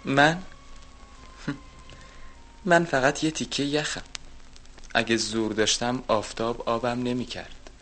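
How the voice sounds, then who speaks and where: A man voicing a small lump of ice in a children's audio story, replying quickly and with amusement. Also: mid-range voice, fairly even intonation, a bit bright, lightly acted. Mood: amused. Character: chuckling modest lump of ice.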